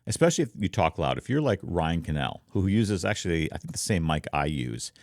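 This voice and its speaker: Very deep-voiced man, dry close mic. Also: sing-song, fairly quick, no echo. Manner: informal, explanatory, demonstrating as he goes. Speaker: streamer. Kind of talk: casual explainer talk to camera. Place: treated room at home. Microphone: close foam-covered microphone, slightly offset from mouth.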